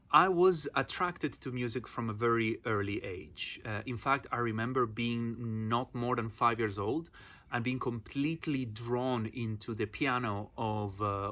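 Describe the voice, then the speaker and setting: Argentine man, 40s, native Spanish speaker, relaxed personal vlog storyteller, mid-pitched voice. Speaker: vocal percussion teacher.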